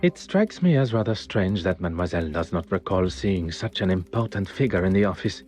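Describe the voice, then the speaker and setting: A man's voice: low-pitched, lively intonation, fairly quick, darkish tone. A man voicing a self-assured detective with a French accent, musing formally to himself in a video game. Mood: smug, pensive. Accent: French-speaking. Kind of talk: inner monologue in a video game. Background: music.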